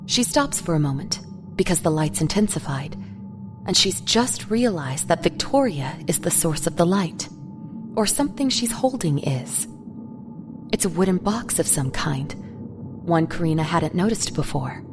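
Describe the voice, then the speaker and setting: A woman narrates a scripted dramatic story. Her voice sits a little low, and music is clearly heard beneath the reading. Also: animated, normal speed.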